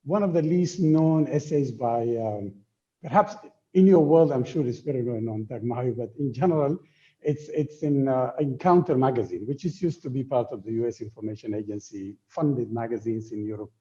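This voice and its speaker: A man speaking informally and unscripted on a panel, halting and repeating himself as he searches for words. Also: mid-range voice, mellow. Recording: dull, muffled online call.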